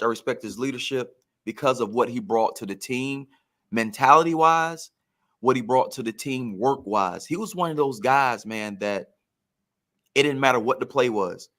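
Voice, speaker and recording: A man's voice: mid-range voice, fairly bright tone. A man in casual sports talk, telling stories like a former player and repeating phrases for emphasis. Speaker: former pro football player, guest storyteller.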